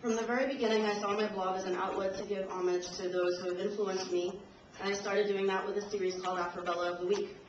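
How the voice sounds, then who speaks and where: A woman's voice: medium pitch, little pitch movement, quick pace, hardly any pauses. A young woman reading a prepared speech to an audience, a little nervous and laughing now and then. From Trinidad and Tobago. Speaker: invited speaker. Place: university lecture room. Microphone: camcorder from the audience. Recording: roomy, distant, dull camcorder audio with noise.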